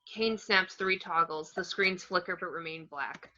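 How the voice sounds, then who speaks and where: A woman narrates stage directions from a script in a scene-setting read. Her voice sits fairly low for a woman but is strikingly bright and crisp-edged. The recording is somewhat dull and lo-fi. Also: a little slow. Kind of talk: scripted screenplay narration at an amateur table read.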